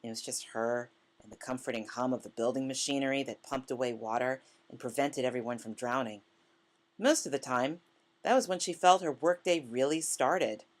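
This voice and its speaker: A woman reading literary fiction aloud as scripted narration, in a measured manner. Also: a bass voice. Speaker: author reading a short story.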